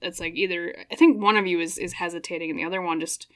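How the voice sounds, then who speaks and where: A woman's voice: on the low side, steady tone, fast, a bit bright. A woman giving an intuitive tarot reading into a microphone, her voice a little scratchy, rambling along and often checking in with "okay?". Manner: rambling and reflective, tag questions like "okay?". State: slightly hoarse, scratchy voice. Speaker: tarot reader.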